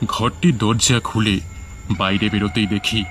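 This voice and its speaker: A man's voice: on the low side, fairly even intonation, a little slow, noisy background. A man narrating a scripted first-person horror story in a dramatic, suspenseful manner. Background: music under the voice.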